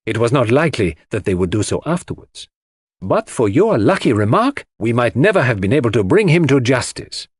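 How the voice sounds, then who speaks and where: Male narrator as a clever confident detective, husky, animated, deliberate, theatrical, audiobook drama. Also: mid-range voice, no echo. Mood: confident, explanatory. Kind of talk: audiobook character dialogue.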